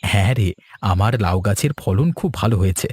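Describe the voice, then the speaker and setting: A man's voice: fairly low pitch, lively intonation, brisk, a little mellow. A man playing a busy, kindly village farmer in a cartoon, explaining things in an avuncular way. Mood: genial. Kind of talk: cartoon dialogue acting.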